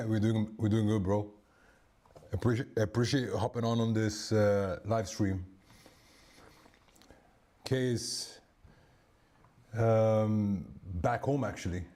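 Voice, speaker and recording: A man's voice: on the low side, takes it slowly, pauses often. A man with a strong voice casually hosting a live stream, greeting viewers like friends. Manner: relaxed, addresses viewers as bro and my people. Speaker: livestream host.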